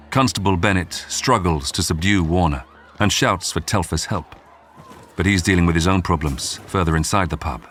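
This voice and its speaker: A man's voice: sits low, fairly bright tone. A man reading a scripted, dramatic true-crime documentary narration. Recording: music bed under the voice. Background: background music.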